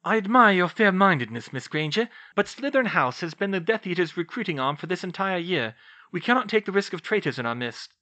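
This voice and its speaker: Man playing a strict elderly headmistress type, crisp commands, lively high delivery. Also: fairly quick, dry recording, lo-fi sound, heavily acted. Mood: stern. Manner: commanding, clipped. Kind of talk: audiobook character voice.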